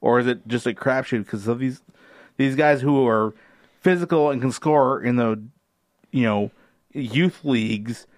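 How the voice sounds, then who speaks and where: A man's voice: medium pitch, measured pace, dry recording. A man co-hosting a casual sports podcast, thoughtful, with many uh fillers, often posing questions to the others. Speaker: podcast co-host.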